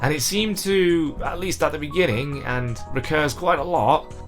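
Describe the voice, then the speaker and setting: A man's voice: on the high side, normal speed, faint music behind. A man narrating a game review in a casual, comedic, chatty style with theatrical exasperation.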